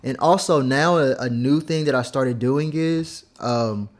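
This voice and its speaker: A young man casually explaining how he mixes his vocals, rambling and filling pauses with "like" and "um". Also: mid-range voice. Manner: laid-back, rambling, heavy use of "like" and "um". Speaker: music producer teaching vocal mixing. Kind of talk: casual screen-recorded tutorial.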